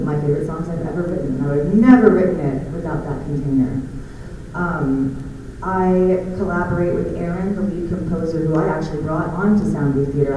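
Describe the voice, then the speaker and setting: A woman talks casually as a creative panel speaker. Her voice is distant from the mic, heavily echoed, with clear noise in the room. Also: low-pitched. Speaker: panel speaker, songwriter and musician. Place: conference room.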